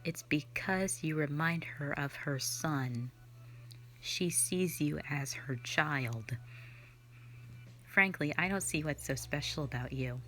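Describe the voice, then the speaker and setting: A woman's voice: deep voice, slow, sharp tone, a little hiss or hum, heavily acted. A woman voicing a cold, sardonic female slayer who is not human, talking in a dismissive, mocking, blunt way in an audio roleplay drama. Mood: scornful.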